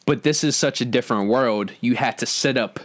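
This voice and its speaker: A man's voice: mid-range voice, a little fast. A man hosting a casual, opinionated film discussion among friends in informal, slangy speech. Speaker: host of an entertainment discussion show.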